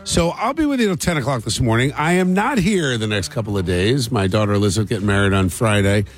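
A man's voice: mid-range voice, animated, fast talker, slight background noise. A middle-aged American man hosting a morning radio show, chatting casually and often asking questions on a close studio microphone. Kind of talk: casual talk radio chat and call-in. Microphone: close studio broadcast microphone.

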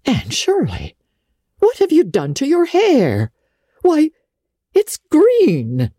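A woman voicing a stern older spinster guardian who scolds briskly and sarcastically. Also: high-pitched, huge pitch swings, dark tone, no room sound, studio quality, voice pushed up, heavily acted. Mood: alarmed. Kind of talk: audiobook character reading.

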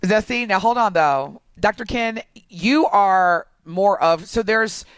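A woman's voice: medium pitch, clear, resonant tone, dry recording, clean studio sound. A woman co-hosting a radio talk show, bantering playfully and joking around in casual chat. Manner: playful, quick to joke and do impressions. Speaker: radio co-host.

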